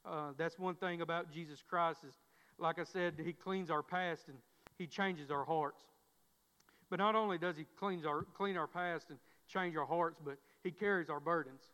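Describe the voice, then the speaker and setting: A man's voice: a little high, steady tone, normal speed, fairly bright tone, clear, resonant tone. A man preaching a sermon, with frequent repeated words and restarts from a stammer. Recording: dull sound, long church reverb.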